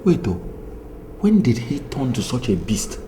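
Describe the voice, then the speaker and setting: A man's voice: medium pitch, sing-song. An actor playing a wronged, sorrowful middle-aged father in a film drama, lamenting over noisy location ambience. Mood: dismayed. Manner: slow, lamenting.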